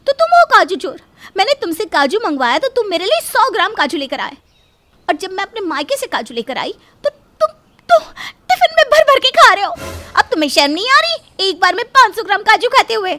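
Young cartoon wife, quarrelsome, possessive, bright piercing voice, very animated, emphatic. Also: female voice, very high voice, a little fast, exaggerated voice. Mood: angry, accusing. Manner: scolding, emphatic, theatrical. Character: young married woman, indignant, possessive, quarrelsome daughter-in-law. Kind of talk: Hindi cartoon family drama dubbing.

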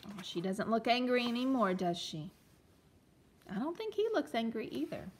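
A woman reading a storybook aloud to young children as a teacher, expressively, pausing to explain and ask questions. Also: fairly high pitch, big pitch swings, slight background noise. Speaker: elementary teacher reading a picture book aloud.

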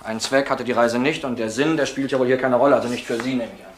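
An actor playing a calm, quietly defiant young man who quibbles pedantically over words in a German radio crime drama. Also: medium pitch, fast, natural voice. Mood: guarded. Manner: pedantic, philosophical, answers questions with questions. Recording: roomy echo, noisy old radio recording.

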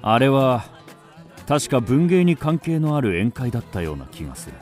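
An actor narrating a radio drama as a wry, self-assured writer, deliberate and dryly ironic. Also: male voice, mid-range voice, big pitch swings, a little mellow, soft background music, close to an everyday voice. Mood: reflective.

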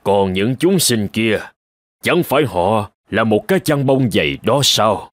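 Man voicing a deep, calm old master monk. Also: no echo, clean studio sound. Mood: calm, instructive. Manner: measured, gently instructive. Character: elderly Buddhist master monk, calm, wise, patient. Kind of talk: animated folk tale dialogue.

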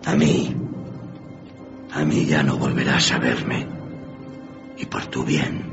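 An actor playing the tormented, remorseful ghost of a dead businessman in a radio drama, solemn and lamenting with drawn-out emphasis. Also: very deep voice, fairly even intonation. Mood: grave warning. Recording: dull muffled recording under loud music.

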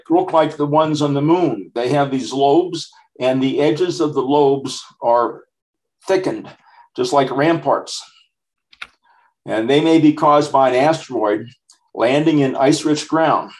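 A man in his sixties or older presents an informal slide lecture, explaining things plainly in short, halting phrases. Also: a little high, low-quality recording. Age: 60s or older.

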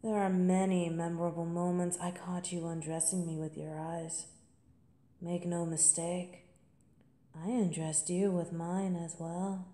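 A woman playing a confident, playful college senior in an intimate, seductive audio roleplay monologue, teasing and at times breathy. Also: on the low side, flat delivery, a little slow, mellow, roomy sound, lightly acted. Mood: sultry.

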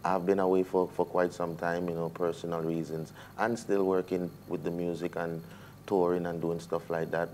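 Deep-voiced Caribbean man, relaxed. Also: slight background noise. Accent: Caribbean English, Jamaican-influenced. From US Virgin Islands. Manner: relaxed, hesitant, many fillers. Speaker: reggae artist guest. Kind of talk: casual interview chat. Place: TV studio set.

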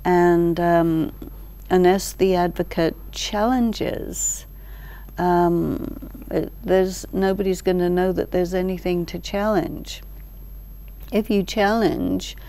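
An older woman explaining ideas thoughtfully as an interview guest, in short measured phrases with deliberate pauses. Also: lowish voice, mellow. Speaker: expert guest, teacher. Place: large library hall, indoors. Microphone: lapel mic.

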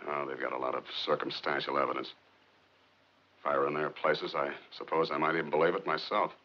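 An actor playing a brooding, determined scientist in a 1950s film drama, with clipped, earnest, sardonic delivery. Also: male voice, very deep voice, little pitch movement, a little fast. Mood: bitter, resigned. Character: brooding, determined male rocket scientist accused of murder. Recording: muffled 1950s film soundtrack.

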